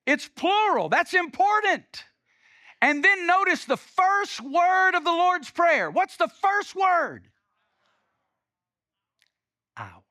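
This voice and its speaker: A Southern pastor preaches, his voice pitched high for a man and bright in tone. He moves between emphatic peaks and quiet, conversational asides. The sound is dry and close. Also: sing-song, slow pace, pauses often, no room sound. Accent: Southern US (Alabama). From Alabama, US. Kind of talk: sermon.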